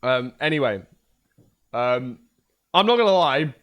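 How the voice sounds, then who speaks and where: A young man with a hoarse voice, chatting casually and joking on a livestream. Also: medium pitch, lively intonation, measured pace, a bit bright. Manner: joking, trash-talking, swears a lot. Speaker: streamer.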